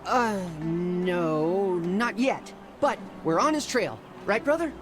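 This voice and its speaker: Chatty excitable spartan kid brother, boyish, voice jumps all around, music under it. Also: male voice, sits high, takes it slowly. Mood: eager, awkward. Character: eager, chatty teenage Spartan boy, younger brother. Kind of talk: video game voice acting.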